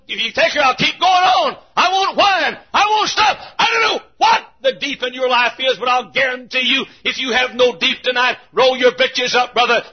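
An American man preaching a fervent revival sermon in a raspy, straining voice, impassioned and often shouting in a repetitive cadence. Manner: impassioned, shouting, repetitive cadence, dramatic swings from low to loud. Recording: dull, muffled lo-fi sermon recording.

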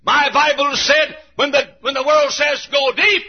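An American man preaching a fervent revival sermon in a raspy, straining voice, impassioned and often shouting in a repetitive cadence. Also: little pitch movement, measured pace. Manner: impassioned, shouting, repetitive cadence, dramatic swings from low to loud. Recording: dull, muffled lo-fi sermon recording.

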